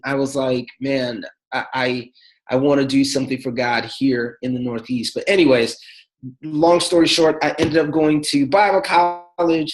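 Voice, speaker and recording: Relaxed New pastor in his forties. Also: mid-range voice, poor audio. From the Bronx, New York City. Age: 40s. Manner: relaxed and chatty, many fillers, laughs between thoughts. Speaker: pastor, guest. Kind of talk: casual personal storytelling.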